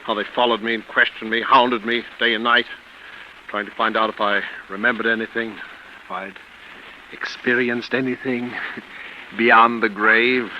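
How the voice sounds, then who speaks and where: An actor playing a haunted, agitated man in 1940s radio drama, with a halting, brooding delivery. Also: mid-range voice, flat delivery, natural voice. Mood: bitter, tormented. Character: haunted, agitated man tormented by near-death experience. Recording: muffled, noisy vintage radio recording.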